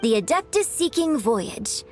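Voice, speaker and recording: A woman playing a graceful young female opera writer in a video game, refined and gentle. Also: a little high, sing-song, a little slow, faint music behind. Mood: fond, dreamy.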